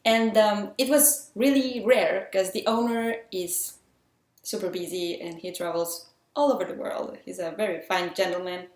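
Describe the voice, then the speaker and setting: A woman's voice: mid-range voice, steady tone, normal speed, darkish tone. A woman from Mexico with a Mexican accent tinged with French, talking through filmmaking tips in an earnest, chatty, enthusiastic way. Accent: Mexican, with some French influence. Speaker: independent filmmaker. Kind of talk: casual talk giving filmmaking tips. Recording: roomy echo.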